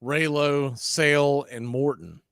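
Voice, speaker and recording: A man calling baseball play-by-play for a fan radio stream, mixing pitch calls with blunt opinionated asides. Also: fairly even intonation, slow pace, a bit bright, clean tone, no room sound, studio quality.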